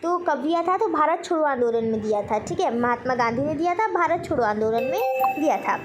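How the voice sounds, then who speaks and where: A woman's voice: sits high, lively intonation, brisk, background noise. A woman with an Indian accent teaching a quiz-style lesson, posing questions and answering them in an instructional way. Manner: instructional, asks each question then answers it, repeats key points. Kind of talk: general knowledge quiz lesson for exam students.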